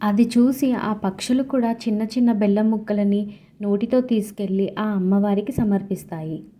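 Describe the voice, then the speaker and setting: A woman's voice: mid-range voice, normal speed, warm tone. A woman narrator reading a scripted story for children.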